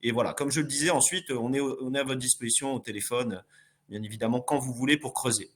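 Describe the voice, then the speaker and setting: A man hosts a business webinar and thanks his guest and the audience. His voice sits at an ordinary middle pitch. He speaks in a polite, formal way, with frequent fillers between phrases. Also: quick pace. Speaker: webinar host.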